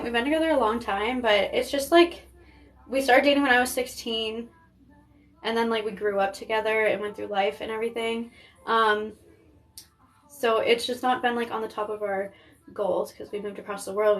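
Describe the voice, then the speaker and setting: A young woman from Maine talks through a live stream in a loose, rambling way, peppered with fillers. Her voice sits a little high. She sounds like she is chatting from home into a phone. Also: a bit bright. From Maine, United States. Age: 20s. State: pregnant. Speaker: makeup artist streaming live. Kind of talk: casual live-stream chat.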